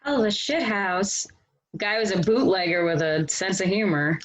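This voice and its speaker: A woman's voice: medium pitch, moderate pace, no echo, lightly acted. A woman playing a tough action heroine in an amateur screenplay table read. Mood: wry.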